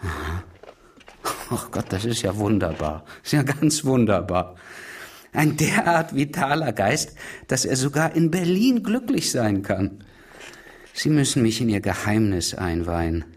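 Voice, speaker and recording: An actor playing an urbane, ironic and self-deprecating world traveller in a German radio drama. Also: male voice, mid-range voice, animated, unhurried, breathy edge. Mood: ironic admiration. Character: worldly, mocking, self-deprecating male globetrotter named Bernhard.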